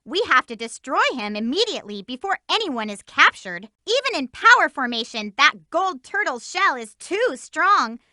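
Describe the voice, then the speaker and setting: A woman's voice: sits high, sing-song, moderate pace, hardly any pauses, sharp tone, no room sound, exaggerated voice. A woman voicing a spirited, bossy teenage girl hedgehog in a video game, delivering hints in an upbeat, emphatic way, recorded close in a studio. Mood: eager. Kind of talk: game voice lines and tutorial hints.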